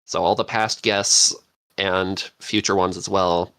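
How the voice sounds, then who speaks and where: A man's voice: low-pitched, level tone, slow pace, fairly bright tone, clean tone, dry recording, low-quality recording. A man hosting a podcast in casual, unscripted chat, rambling along with enthusiasm. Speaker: podcast host and interviewer.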